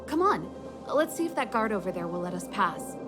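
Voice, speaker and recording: A woman's voice: medium pitch, very animated, a little fast, loud music behind the voice, natural voice. An actress playing a confident, easygoing young woman mechanic and rock musician in a video game, with a breezy, self-assured manner. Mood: breezy, friendly.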